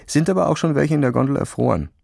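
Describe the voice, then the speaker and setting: A man's voice: fairly high pitch, animated, fast, vocal fry, darkish tone, no echo, studio quality, voice pushed up, exaggerated voice. A man voicing a cocky, condescending boy who brags in casual slang, in a children's audio story. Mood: knowing. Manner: bragging, sneering, casual youth slang.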